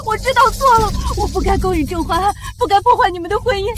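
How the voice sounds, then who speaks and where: A woman's voice: very high-pitched, fast talker, crowd noise, noisy background. An actress playing a scheming villainess general in a costume short drama, imperious and histrionic, with music behind her. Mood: panicked, pleading. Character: scheming, defiant villainess general pleading and protesting shrilly. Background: dramatic background music.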